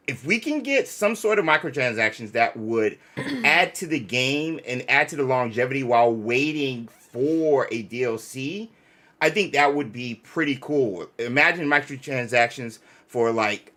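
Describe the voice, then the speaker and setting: A man with a bright, forceful voice at a middle pitch talks casually as a livestream host. His delivery rambles and halts as he works toward his points, which he lands with emphasis. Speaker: host of a gaming reaction show.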